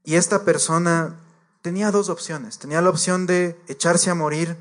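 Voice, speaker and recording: A man's voice: on the high side, fairly even intonation, moderate pace. A man preaching a sermon to a congregation, earnest and direct, repeating his points and addressing the listeners as believers.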